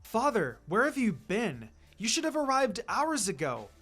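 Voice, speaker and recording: A fretful, chiding mother, played by a man in a storybook reading. Her voice is raised high and animated, with music underneath. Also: measured pace, full character voice. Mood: worried, scolding. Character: anxious, scolding mother and grown daughter.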